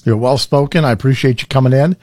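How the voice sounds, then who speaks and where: A man hosting a local podcast, speaking in a relaxed way and sharing his view as an employer. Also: mid-range voice, fast, no room sound, clean studio sound. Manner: relaxed, brief affirmations. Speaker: podcast host. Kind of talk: podcast interview.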